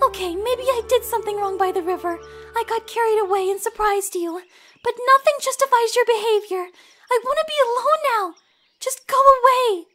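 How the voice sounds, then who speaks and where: A woman playing a gentle, lovesick young female pony with wounded feelings in an audio drama, speaking earnestly and emotionally. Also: extremely high pitch, moderate pace, soft background music. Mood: hurt, angry. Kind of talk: fan audio drama acting.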